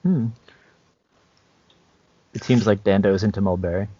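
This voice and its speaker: A man with a low voice chats as a podcast co-host. He speaks casually, in halting phrases with pauses. The sound is dry and slightly dull. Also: mellow, breathy edge, dry recording. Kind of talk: casual chat about tabletop roleplaying and comics.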